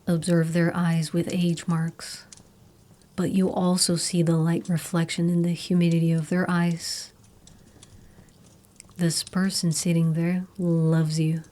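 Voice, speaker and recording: A woman narrating a scripted guided meditation in a soothing, hushed, soft and breathy manner. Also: deep voice. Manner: soothing, slow, hushed delivery. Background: meditation music.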